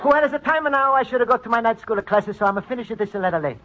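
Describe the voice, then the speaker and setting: A man's voice: very high voice, fast. A man playing a good-natured Italian immigrant in an old radio comedy, speaking earnest, simple broken English with an Italian accent. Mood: earnest, wistful. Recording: noisy, dull vintage radio recording.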